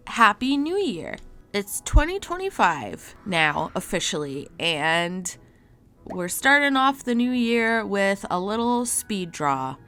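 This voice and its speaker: A woman's voice: medium pitch, big pitch swings, slow, fairly bright tone. A woman with a stuffy, congested voice from a cold, chatting casually and rambling as she talks about her art. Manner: chatty and rambling, many likes and self-corrections. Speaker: artist and channel host. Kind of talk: casual voice-over for a speed draw.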